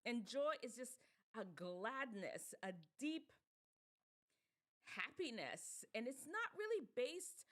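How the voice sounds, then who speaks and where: A woman from Jamaica with a Jamaican accent, hosting a casual talk for teens; she is animated, laughs often and muses aloud as she goes. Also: fairly high pitch, takes it slowly. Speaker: show host.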